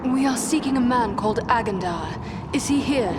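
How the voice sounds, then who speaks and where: A woman's voice: fairly high pitch, animated, normal speed, background noise, close to an everyday voice. An actress playing a resolute, earnest elf woman in a fantasy game, with formal, composed phrasing. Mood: questioning. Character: resolute young female elf adventurer, earnest and grave. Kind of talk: scripted fantasy game dialogue.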